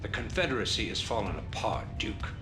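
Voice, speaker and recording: An actor voicing a commanding, calculating middle-aged rebel leader in a game cutscene, measured and authoritative. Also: male voice, lowish voice, very animated. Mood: stern, forceful. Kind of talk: dramatic video game cutscene acting. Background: dramatic background music.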